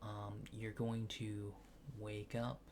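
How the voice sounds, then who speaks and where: A man doing a soft-spoken ASMR roleplay, hushed and often near a whisper, with hesitant, polite, clinical phrasing. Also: lowish voice, completely flat delivery, takes it slowly, slight background noise. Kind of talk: soft-spoken ASMR roleplay as an alien abductor examining a subject.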